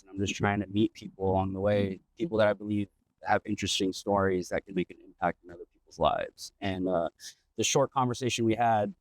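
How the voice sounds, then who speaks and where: A man hosting a casual podcast interview, relaxed and rambling, with lots of fillers and restarts. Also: on the low side, low-quality recording. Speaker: podcast host. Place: outdoors in an old town district.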